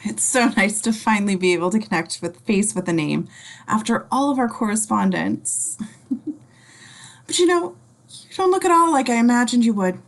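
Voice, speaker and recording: A woman's voice: a little high, lively intonation, moderate pace. A woman playing a sensible, polite female museum docent in a table read. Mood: politely curious.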